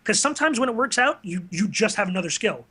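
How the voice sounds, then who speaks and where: A man's voice: sits high, animated, fast, sharp tone, slightly rough. A man hosting a casual talk show, speaking conversationally with an enthusiastic manner.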